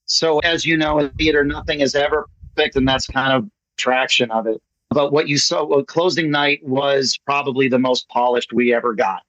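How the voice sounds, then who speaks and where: A man's voice: medium pitch, steady tone, normal speed, fairly bright tone, no room sound. A man speaking casually as a guest playwright and actor in an online meeting. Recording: dull lo-fi video call audio.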